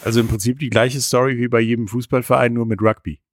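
A man's voice: medium pitch, steady tone, fast talker, no room sound, studio quality. A man hosting an interview, asking casual questions with little jokes. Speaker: host.

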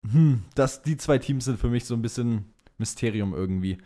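A man's voice: medium pitch, normal speed, dark tone. Male podcast co-host, informal and slangy, drops in English words, conversational delivery. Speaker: podcast co-host reading listener questions. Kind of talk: casual chat about motorsport.

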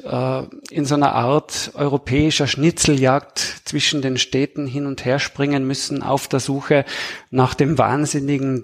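A man, an author talking conversationally as an interview guest. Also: medium pitch. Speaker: thriller author, podcast guest.

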